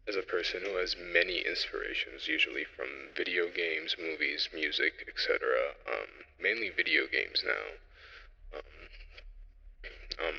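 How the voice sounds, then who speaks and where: A young man presenting an informal solo audio log, speaking haltingly and reflectively as he thinks aloud, through a radio-style effect. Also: very deep voice, gravelly, sharp tone, breathy edge, big-room sound, highs cut off. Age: young adult.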